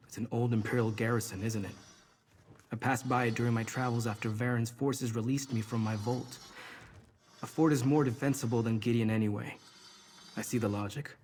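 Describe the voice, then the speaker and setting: An actor playing a wary, solemn sorcerer in a video game, speaking in a measured, grave way. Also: male voice, medium pitch, fairly even intonation, a little mellow, natural voice. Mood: solemn, resolute.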